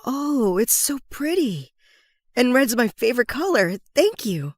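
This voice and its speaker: A woman playing a spirited, headstrong teenage schoolgirl with an expressive, blunt delivery. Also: medium pitch, moderate pace, dry recording, clean studio sound. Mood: cheerful.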